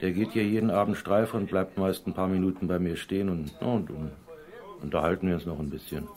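A man's voice: deep voice, steady tone, brisk, a little mellow, close to an everyday voice. An actor playing a stolid, proud older theatre doorman in a German crime radio play, with a hesitant, folksy, emphatic delivery. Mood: proud, plodding.

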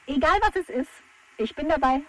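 A woman's voice: high-pitched, animated, brisk. A woman playing a cheeky, chatty salon owner and willing ally, speaking over two-way radio in a German children's drama. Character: lively, game female salon-owner ally. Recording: noisy radio link.

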